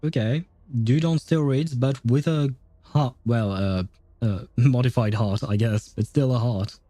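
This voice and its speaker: A man's voice: mid-range voice, a little slow, warm tone, no echo. A man livestreaming a game, giving casual commentary and chatting with his viewers.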